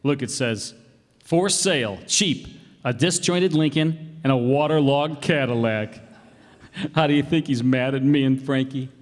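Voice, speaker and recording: A man's voice: mid-range voice, unhurried, lightly acted. A man playing a flippant, wisecracking troublemaker in old-time radio comedy, breezy, folksy and glib. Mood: amused. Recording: reverberant hall stage recording.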